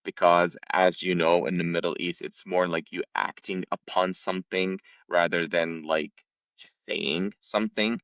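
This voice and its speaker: A young man from Lebanon talking in a relaxed, reflective way about his travels, with many casual fillers. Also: deep voice, normal speed, no echo, muffled recording. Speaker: podcast guest sharing travel stories. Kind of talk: casual conversation.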